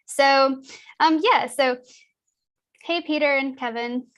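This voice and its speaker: A woman's voice: high-pitched, slow pace, over a noisy crowd. A woman joining a live stream as a guest, explaining her company in an upbeat, friendly way with a few ums. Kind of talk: casual live-stream introduction.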